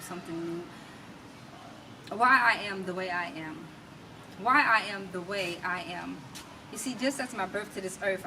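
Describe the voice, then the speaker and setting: American woman poet, distant noisy recording. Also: medium pitch. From United States. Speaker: interview guest, poet and recording artist. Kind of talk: casual interview talk with spoken-word poetry recitation. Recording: noisy, distant mic with ambient sound.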